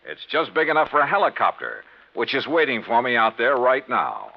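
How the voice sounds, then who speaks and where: An actor playing a sharp, confident insurance investigator who narrates in brisk, wry 1950s radio detective style. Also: male voice, mid-range voice, huge pitch swings, creaky, full character voice. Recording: muffled 1950s radio recording with music.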